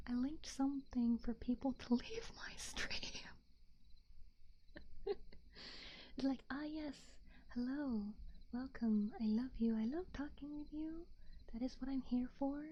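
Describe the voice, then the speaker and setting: A woman livestreamer chatting casually with her viewers in a soft, breathy ASMR whisper, playful and self-deprecating, into a binaural microphone. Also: high voice, takes it slowly, long pauses, highs cut off. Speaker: livestreamer, ASMR roleplay host.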